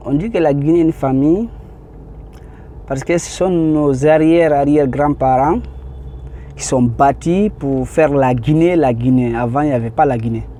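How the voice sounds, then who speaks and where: A man's voice: a little high, normal speed, warm tone, a little hiss or hum. A man from Guinea with a Guinean French accent giving earnest, direct answers in a street interview.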